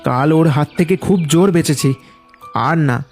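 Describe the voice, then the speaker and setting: A man's voice: on the high side, measured pace, a little mellow. A male storyteller acts out a scared village fisherman in a ghost cartoon. The voice is raised, breathless and stammering, insisting on every word over background music. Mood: shaken.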